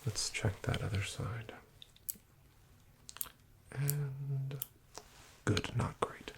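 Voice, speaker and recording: A man doing a soft-spoken ASMR doctor roleplay, talking slowly in a gentle half-whisper with hesitant fillers. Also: mid-range voice, noisy background.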